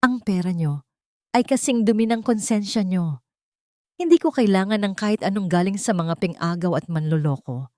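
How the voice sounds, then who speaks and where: A woman's voice: medium pitch, lively intonation, moderate pace, darkish tone, no room sound, studio quality, close to an everyday voice. A woman narrator voicing a proud, wounded older sister in an audio story, firm and defiant.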